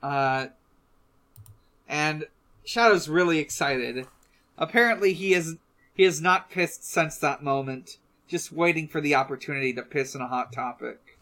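A podcast co-host casually recapping a story among friends, in a halting way with drawn-out pauses. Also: medium pitch, fairly bright tone.

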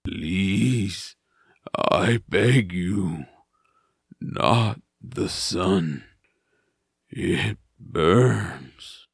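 A man acting a slow, wretched, raspy man-eating monster in an audio drama, speaking in a ponderous drawl. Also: low voice, no room sound, studio quality, exaggerated voice. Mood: pleading. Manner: pleading, ponderous, drawn-out.

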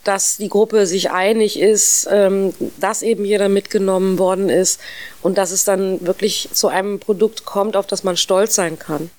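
A woman's voice: medium pitch, steady tone, moderate pace, a little mellow. A woman giving a spoken testimonial in a reflective, appreciative way.

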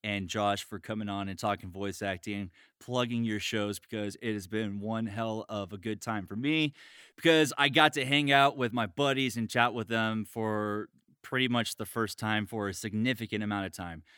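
A man's voice: lowish voice, fairly even intonation, moderate pace, bright, forceful tone, smooth, no room sound, clean studio sound. A man hosting a podcast in an informal, sweary, casual chat. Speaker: podcast host.

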